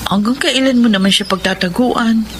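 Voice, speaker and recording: A woman's voice: a little high, moderate pace, background noise, close to an everyday voice. A woman playing a coy, teasing, cautious young woman being courted in a Filipino radio drama. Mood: careful, gentle. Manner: playful, coy.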